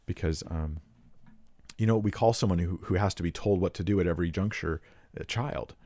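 Man, low voice, earnest casual teaching, emphatic, dry close-mic sound. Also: a little fast, mellow, dry recording. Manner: earnest, frequent restarts, emphatic rises. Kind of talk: casual unscripted teaching talk.

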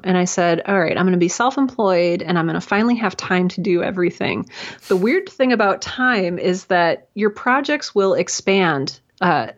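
A woman with a fairly low voice speaks as a podcast guest, thinking aloud in an informal, self-deprecating way. The recording is a little dull and lo-fi, and her delivery is that of relaxed conversational interview answers. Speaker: podcast guest, self-employed creative professional. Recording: dull, lo-fi audio.

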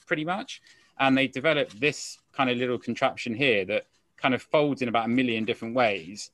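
A man's voice: medium pitch, a bit bright, no room sound. A man on a business panel describing his company's packaging in a casual, rambling way with many fillers. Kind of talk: panel discussion.

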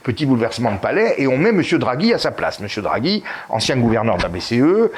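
Hesitant, animated Italian-born man. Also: medium pitch, quick pace, slight background noise. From Italy. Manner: hesitant, frequent fillers and restarts, emphatic rises. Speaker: interview guest. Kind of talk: political interview conversation.

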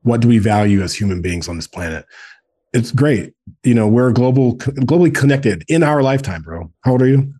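A man's voice: mid-range voice, expressive, brisk, warm tone, breathy edge. A man in his forties speaking earnestly in a casual podcast conversation, often repeating words for emphasis. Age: 40s. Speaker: guest, men's group co-founder.

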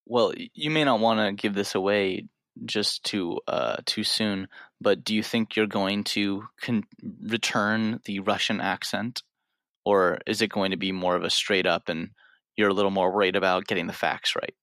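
Male podcast co-host, dry and low. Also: steady tone, moderate pace, fairly bright tone, no room sound. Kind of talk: interview questions.

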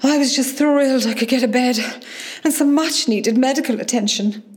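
A woman's voice: high-pitched, normal speed, a little mellow, natural voice. An actress playing a polite, genteel female film star as a hospital patient in a radio play, gracious and reserved. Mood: gracious, guarded.

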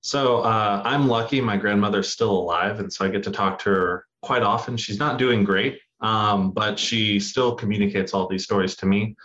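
A man's voice: fairly low pitch, moderate pace, hardly any pauses, a bit bright. Young male guest on muffled audio. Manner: earnest storytelling with fillers. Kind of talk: interview answers. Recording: dull lo-fi audio.

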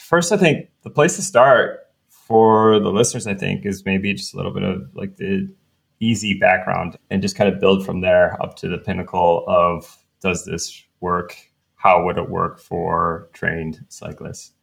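A man hosting a podcast, chatting in a relaxed way and thinking aloud as he explains. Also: low voice, a little slow, gravelly. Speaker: podcast host, researcher. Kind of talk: casual podcast chat.

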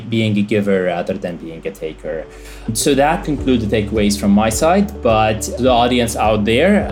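A man co-hosting a podcast, giving earnest reflections and then reading the show's outro in a steady way. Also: on the low side. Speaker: podcast co-host.

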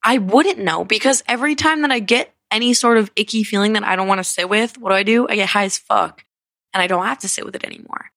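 A woman's voice: mid-range voice, fast talker, vocal fry, a bit bright, dry recording, studio quality. A young woman in her twenties from Connecticut, hosting a solo podcast in a candid, rambling way, full of fillers and swearing, and sounding sniffly and congested. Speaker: podcast host. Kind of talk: casual solo podcast talk.

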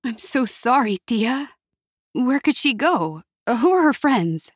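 A woman's voice: on the high side, very animated, slow pace, no echo, studio quality, voice pushed up, heavily acted. A woman voicing a shaken counselor who fires off anxious, urgent questions. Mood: alarmed.

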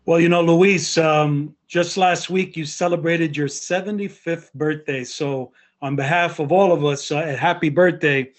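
A man's voice: fairly high pitch, steady tone. Earnest man hosting a podcast. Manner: measured, earnest, with some fillers. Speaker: podcast host. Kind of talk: warm formal interview introduction.

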